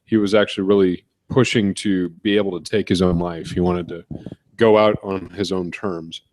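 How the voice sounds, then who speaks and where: A man's voice: low voice, fairly even intonation, a little mellow, no echo. A man co-hosting a casual podcast, reading out the news in a chatty, meandering way with lots of ums, close on the mic. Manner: chatty, drawn-out with ums and uhs. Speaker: podcast co-host. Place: couch in a home studio. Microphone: close studio microphone.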